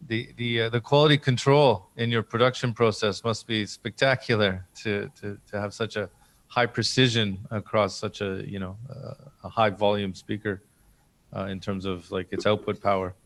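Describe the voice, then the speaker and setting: A man's voice: on the low side, fairly even intonation, normal speed, a bit bright. A man hosting a technical discussion in a conversational style.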